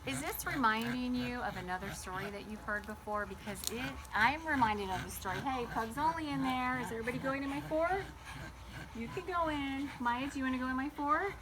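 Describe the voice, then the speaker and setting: Higher-voiced woman reading to kids, noisy outdoors. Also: a little fast. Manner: animated, addresses young listeners with questions. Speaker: children's read-aloud host. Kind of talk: storybook read-aloud with chatty asides to children. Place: garden, sitting outdoors by a small table fort.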